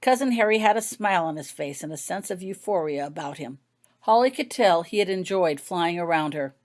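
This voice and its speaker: A woman's voice: on the low side, lively intonation, a little fast, darkish tone. A woman reading her own story aloud as scripted audiobook narration.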